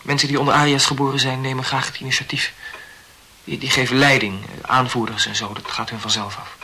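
A man's voice: medium pitch, flat delivery, brisk, sharp tone, slight background noise. An actor playing an awkward, earnest young man who talks hesitantly and corrects himself in a radio play. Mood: self-conscious.

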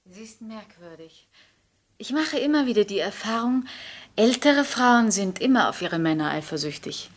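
An actress playing a flirtatious, sharp-tongued society lady in a 1960s German radio play, elegant and teasing. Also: a little high, animated, moderate pace, lightly acted. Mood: catty, amused.

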